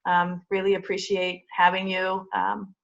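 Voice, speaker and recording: A woman's voice: mid-range voice, monotone, a little slow, creaky, a little hiss or hum. A woman hosting a library program over a video call, presenting books and interviewing casually. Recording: dull video call audio.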